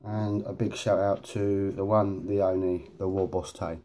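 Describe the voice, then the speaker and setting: A man with a very low, dark voice hosts a hobby vlog. He rambles along slowly in informal, matey slang. His nose sounds sniffly and a little blocked. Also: flat delivery. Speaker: host of a hobby video series.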